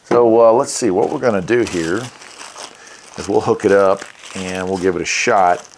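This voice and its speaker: A man's voice: low voice, normal speed, slight background noise. A man hosting a casual product walkthrough, easygoing and thinking aloud as he goes. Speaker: radio reviewer, channel host.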